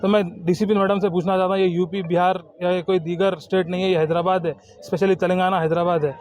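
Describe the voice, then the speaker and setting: A man's voice: sits high, level tone, fast talker, a little mellow, dull audio. A man making an indignant, insistent protest statement, repeating his phrases, recorded outdoors in a city. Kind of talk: spoken protest statement to camera. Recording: clearly noisy outdoor urban recording.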